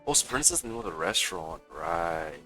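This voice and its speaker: A man's voice: low voice, animated, normal speed, pushed and bright, faint music behind. A man giving casual live commentary as he streams a video game.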